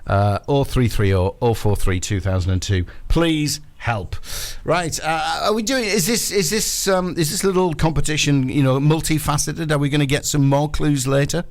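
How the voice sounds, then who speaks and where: A man's voice: on the high side, moderate pace, hardly any pauses. A man hosting a breakfast sports radio show with casual, jokey, self-deprecating football banter.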